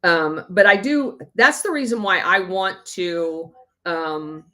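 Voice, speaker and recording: Woman host, slightly low pitch, eager and chatty, plenty of ums. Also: takes it slowly, pushed and bright. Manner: eager, filler-heavy, many ums. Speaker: podcast host. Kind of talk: casual chat.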